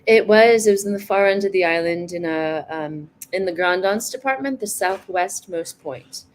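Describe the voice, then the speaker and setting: A woman in her forties, a guest in a casual online conversation, talking in a thoughtful, soft-spoken way and laughing easily. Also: fairly low pitch, normal speed, a little hiss or hum. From United States.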